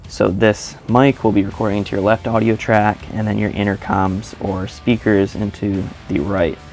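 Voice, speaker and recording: A man's voice: fairly low pitch, little pitch movement, moderate pace, a little mellow, faint music behind. A man giving a casual, step-by-step how-to in an informal, plain-spoken way, as a video presenter. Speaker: motovlogger presenting a how-to tutorial. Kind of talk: casual step-by-step instructional narration.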